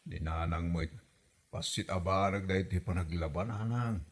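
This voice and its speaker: A man acts out a mild young husband in an audio comedy drama. His voice is fairly low and husky, and he speaks in a placating, defensive way, as someone stuck between mother and wife. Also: moderate pace. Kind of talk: comedy radio drama acting.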